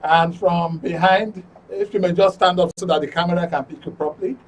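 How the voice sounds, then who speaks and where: A Nigerian man hosting a live studio panel show, introducing things haltingly and repeating phrases. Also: high-pitched, fairly even intonation, muffled recording. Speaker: radio and TV programme host. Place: radio and TV studio. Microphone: studio microphone. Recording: faint noise, distant studio mic.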